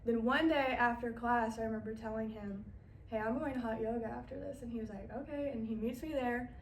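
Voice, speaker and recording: A woman in her twenties with a drawl, chatting as a podcast guest. Her voice sits a touch high and runs loose and conversational. She sounds set back from the mic in a reverberant room with faint hiss underneath. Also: fairly even intonation, a little fast. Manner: chatty, laughs while telling stories. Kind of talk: casual storytelling. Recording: echoey room, mic at a distance, faint noise.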